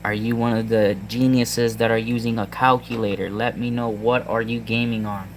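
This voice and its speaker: A man with a Puerto Rican accent chatting casually to his viewers about a handheld gaming device, halting with lots of ums and restarts. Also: on the low side, little pitch movement, a little hiss or hum. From Puerto Rico. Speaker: tech vlogger. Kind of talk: casual rambling tech talk to viewers.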